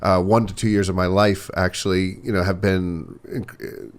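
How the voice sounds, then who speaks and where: A man's voice: sits low, level tone, moderate pace, clear, resonant tone. A man in his forties speaking candidly and earnestly as a podcast guest, often repeating himself and checking in with "right?". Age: 40s. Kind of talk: candid conversational interview. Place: podcast studio.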